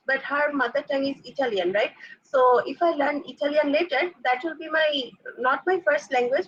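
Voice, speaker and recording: A woman's voice: high-pitched, a bit bright, husky. A young woman, a graduate student giving a seminar presentation and explaining concepts with many "like" and "uh" fillers. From Bangladesh. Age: young adult. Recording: dull lo-fi sound.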